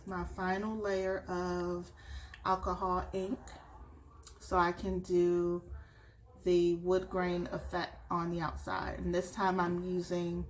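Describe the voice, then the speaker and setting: A woman's voice: lowish voice, a little hiss or hum. A woman talking through a craft tutorial at home while she works, a bit sniffly from allergies. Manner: slow, relaxed, talks while working. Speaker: crafter showing a tumbler project.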